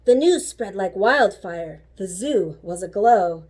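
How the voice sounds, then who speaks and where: A woman reading a children's story aloud like a teacher, animated and rhythmic with the rhymes. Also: mid-range voice, slow. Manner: animated, rhythmic rhyming delivery.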